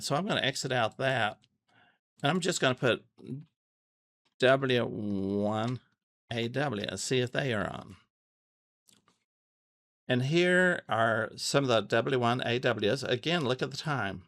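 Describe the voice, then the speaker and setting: A man giving a casual, unscripted tutorial, thinking aloud haltingly and repeating phrases as he goes. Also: medium pitch, fairly bright tone, dry recording. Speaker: amateur radio tutorial host. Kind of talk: casual unscripted screen walkthrough.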